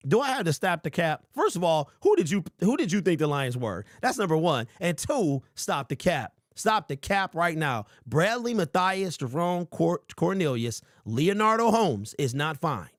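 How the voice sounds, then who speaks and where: A man in his forties hosting a casual sports talk show, reading viewer comments and rambling with lively emphasis. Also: a little high, moderate pace, hardly any pauses, no room sound, clean studio sound. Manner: animated, rambling, calls listeners bro. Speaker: sports podcast host.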